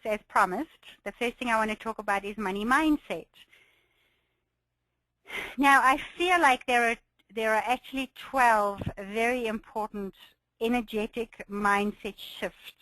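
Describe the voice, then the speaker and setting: A woman presenting a business webinar as a coach, slightly hoarse from a cold, with an enthusiastic, encouraging manner and frequent light laughs. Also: a little high, a little slow, pauses often, a bit bright, no echo, rough recording.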